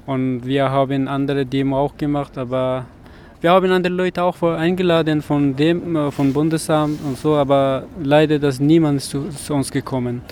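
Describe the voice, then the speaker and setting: A man from Afghanistan speaking haltingly at a street protest, often pausing to search for words. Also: fairly high pitch, flat delivery, a little mellow, slight background noise. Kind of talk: street interview. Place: outdoors on a city street.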